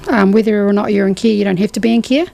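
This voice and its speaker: A woman's voice: medium pitch, steady tone, quick pace, vocal fry, warm tone. A woman, a guest on a radio show, explaining financial services with lots of "um"s. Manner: explanatory, uses many fillers. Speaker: guest from a trust organisation.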